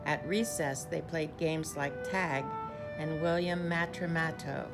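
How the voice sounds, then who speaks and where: A woman reading a children's picture book aloud like a teacher, with measured, deliberate storytelling and clear phrasing. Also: low voice, steady tone, over loud music.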